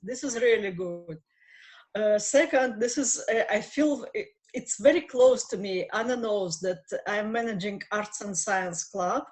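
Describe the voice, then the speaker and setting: A woman's voice: mid-range voice, unhurried. Woman participant on a video call, native Russian speaker, earnest, hunts for words. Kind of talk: casual online discussion.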